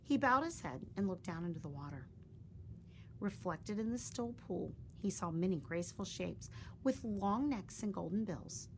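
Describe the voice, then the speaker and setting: Woman narrator for a children's read-aloud; warm storytelling, faint room noise. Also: medium pitch, normal speed, poor audio. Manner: expressive, gentle storytelling.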